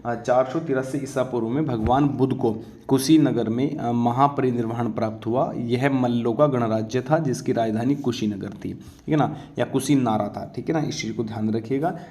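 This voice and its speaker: A man's voice: medium pitch, normal speed, mellow. A man giving an informal exam-prep lecture, instructing students with frequent check-in tags and questions he answers himself. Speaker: exam coaching teacher. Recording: echoey room.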